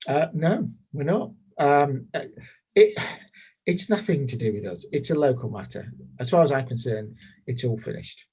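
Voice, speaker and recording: An actor playing a casually callous, self-justifying Roman governor giving courtroom testimony in a hesitant, dismissive, conversational way. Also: male voice, medium pitch, expressive, moderate pace, a little mellow, breathy edge. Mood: dismissive, evasive.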